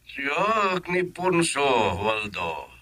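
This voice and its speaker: A man playing gruff old neighbor Ponso. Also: fairly high pitch, wildly swooping pitch, extremely slow, pushed and bright, exaggerated voice. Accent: Ilocano. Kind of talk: Ilocano radio drama acting.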